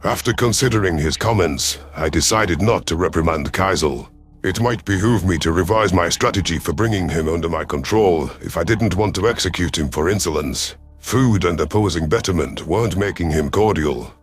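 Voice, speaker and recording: A man's voice: deep voice, moderate pace, faint music behind. A man giving a dramatic scripted narration of a science fiction story as an audio performer.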